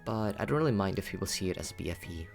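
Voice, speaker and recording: A man rambles casually to his livestream chat. He is playful and cutesy, often repeating his phrases, with music playing clearly behind him. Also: low voice, expressive, quick pace. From Philippines. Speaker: VTuber livestreamer.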